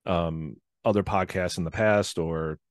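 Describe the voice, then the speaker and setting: A man's voice: low voice, steady tone, unhurried, no echo, studio quality. A man in his forties with a nasal voice, chatting as a podcast guest over a video call. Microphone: headset and podcast microphone on video call.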